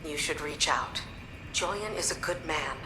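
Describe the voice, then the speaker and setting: A woman playing a frank, caring commander in a video game, talking in a direct, gently persuasive way. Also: deep voice, measured pace. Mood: frank, gentle. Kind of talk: dramatic game dialogue. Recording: roomy echo, music behind.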